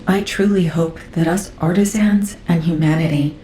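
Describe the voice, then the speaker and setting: A woman playing a grave, guarded councilor in a video game cutscene, speaking in a measured, formal way. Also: lowish voice, steady tone, warm tone, lightly acted. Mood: grave, earnest. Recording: echoey, faint music behind.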